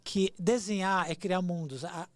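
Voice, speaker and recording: A man's voice: sits high, steady tone, a little slow, no echo. Guy in his 40s on a podcast, nostalgic, keeps stumbling n repeating words. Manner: hesitant, repeats words and restarts often; earnest and nostalgic. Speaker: podcast guest. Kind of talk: reflective storytelling.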